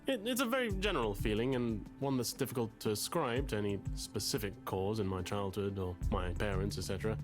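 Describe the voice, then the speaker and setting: A man's voice: on the low side, lively intonation, fairly quick, few pauses, natural voice. Man acting as a wordy, pompous, anxious grad student, music behind. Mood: formal, uneasy. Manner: verbose, formal, hesitant, self-conscious. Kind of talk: visual novel game voice acting.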